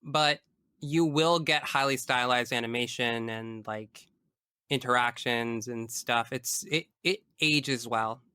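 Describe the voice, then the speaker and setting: A woman's voice: very deep voice, slow, bright, forceful tone, clean tone, dry recording, studio quality. A young woman chatting casually and emphatically as a guest in an interview. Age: young adult. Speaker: podcast guest. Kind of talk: casual interview chat about video games.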